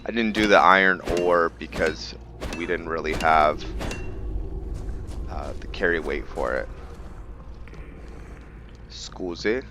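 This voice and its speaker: A man's voice: low voice, steady tone, unhurried, background noise. A man giving casual, chatty gameplay commentary as a video host, thinking aloud with enthusiasm.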